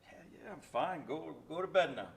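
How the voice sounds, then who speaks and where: A man's voice: on the high side, lively intonation, moderate pace, creaky. A man playing a weary, guarded immigrant father, terse and admonishing, in a staged play reading. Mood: weary, firm.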